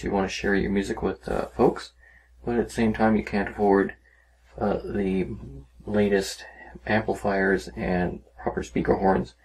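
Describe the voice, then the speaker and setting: A man giving a casual, rambling, unhurried demonstration of a hobby project, talking as a maker. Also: on the low side, gravelly, a little mellow, a little hiss or hum, rough recording. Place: small cluttered indoor workshop.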